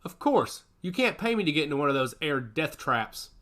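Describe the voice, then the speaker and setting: A man doing a calm, firm squad leader. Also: on the high side. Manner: measured, authoritative.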